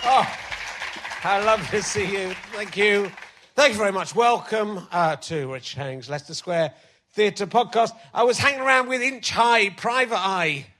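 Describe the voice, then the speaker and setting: A male comedian hosting a live podcast on a theatre stage, chatty and self-mocking, his voice slightly croaky from illness. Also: high-pitched, moderate pace, sharp tone, some chatter behind. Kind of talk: live stand-up banter on stage.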